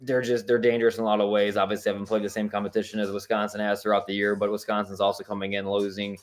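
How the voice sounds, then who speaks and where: A young man with a somewhat low voice co-hosting a casual sports podcast over a video call. He talks in an easygoing, hedging way and is quick to laugh at himself. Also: monotone. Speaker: podcast co-host.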